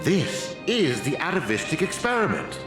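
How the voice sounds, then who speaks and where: A pompous game villain, lecturing like a smug scientist. His theatrical delivery swings widely in pitch, in a roomy space with music playing behind. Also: huge pitch swings, normal speed. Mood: grandiose, scornful. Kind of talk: theatrical video game voice acting.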